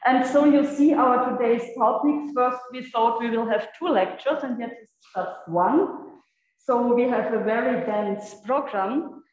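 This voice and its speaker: A woman with a German accent, a native German speaker, giving an unscripted guest lecture to students, halting and searching for words with many fillers. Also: on the high side, darkish tone, muffled recording. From Europe. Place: echoey lecture room. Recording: echoey hall.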